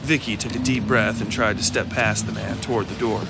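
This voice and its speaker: Gravel-edged male story narrator over loud music. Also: lowish voice, fairly even intonation, a little fast. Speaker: narrator of a fiction podcast. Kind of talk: scripted dramatic story narration.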